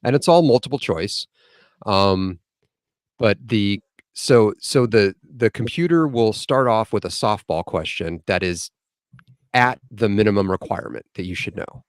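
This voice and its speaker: Chatty man in his forties, low voice. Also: lively intonation, measured pace, no room sound, clean studio sound. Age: 40s. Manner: chatty, detailed storyteller who backtracks mid-story. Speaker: podcast guest. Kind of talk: casual storytelling.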